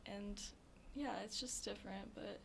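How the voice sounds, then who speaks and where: A teenage girl answering interview questions softly, leaning heavily on "like" and other fillers. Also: medium pitch, fairly even intonation, normal speed, creaky. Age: teens. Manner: soft-spoken, filler-heavy with frequent "like". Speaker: interview guest. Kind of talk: casual interview.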